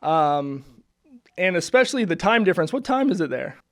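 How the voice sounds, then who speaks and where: Upbeat high-voiced male podcast host. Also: very animated, moderate pace. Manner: upbeat, friendly, with frequent fillers. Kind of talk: casual interview chat. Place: studio.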